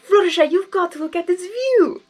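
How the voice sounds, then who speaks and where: A woman's voice: very high-pitched, expressive, brisk, darkish tone, faint music behind, pitched above the performer's own voice. A woman voicing a teasing, gallant male draconequus trickster from a story, in a theatrical, coaxing manner. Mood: exuberant, exasperated.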